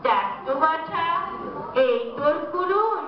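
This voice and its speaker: A woman playing a kindly, motherly water goddess in a folk-tale drama, speaking in a stately, benevolent, drawn-out way. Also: very high-pitched, sing-song, heavily acted. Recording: very echoey, distant, dull, faintly noisy.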